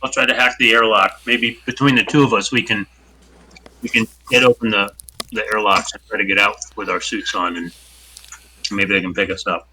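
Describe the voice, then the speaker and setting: Male player, sharp and tense tone, thinking out loud, faint hiss. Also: lowish voice, steady tone, moderate pace, rough recording. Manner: thinking aloud, unsure plans. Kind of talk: casual game-table talk.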